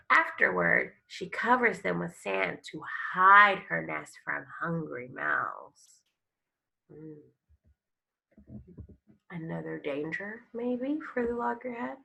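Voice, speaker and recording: A woman reads a children's book aloud as a schoolteacher would, taking her time and stopping to explain or prompt. Her voice is very creaky and somewhat husky, with expressive, instructive phrasing aimed at young listeners. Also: medium pitch, poor audio. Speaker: elementary school teacher. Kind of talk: picture book read-aloud with questions to young pupils.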